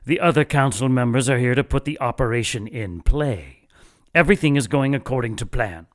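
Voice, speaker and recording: A cold and ruthless church leader, played by a man, addresses a secret council. His delivery is measured and commanding, with menace under formal, dramatic radio-play speech. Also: medium pitch, natural voice. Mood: controlled menace. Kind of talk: audio drama acting.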